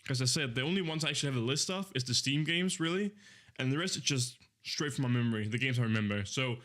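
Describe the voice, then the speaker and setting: A young man in his twenties, chatting casually on a live stream in a voice of ordinary, middle pitch. He rambles easily, fills gaps with ums, and corrects himself mid-sentence as he goes. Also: lively intonation, fast, a bit bright. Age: 20s. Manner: rambling, easygoing, with many fillers and self-corrections.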